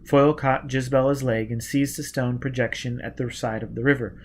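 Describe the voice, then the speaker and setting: Expressive male audiobook narrator, medium pitch. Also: normal speed, slight background noise. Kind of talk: dramatic scripted narration.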